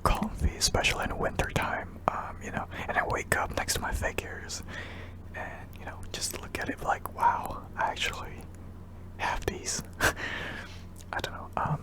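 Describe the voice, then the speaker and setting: A young man from Indonesia, whispering hesitantly with many ums while answering viewer questions in an ASMR video. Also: unhurried. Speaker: ASMR channel host.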